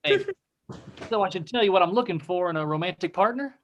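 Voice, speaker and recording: A man's voice: high-pitched, expressive, fairly quick, fairly bright tone, lo-fi sound, a little hiss or hum. A man playing a polite, flirty cowboy in his thirties with a Southern US accent, in a comedy table read over video call. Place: indoor room on a video call.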